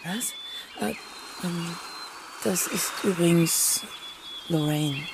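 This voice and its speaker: A woman's voice: fairly low pitch, drawn-out words. An actress playing a brash, mocking, blunt-spoken woman in a German radio crime drama. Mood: startled. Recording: clearly noisy outdoor scene ambience.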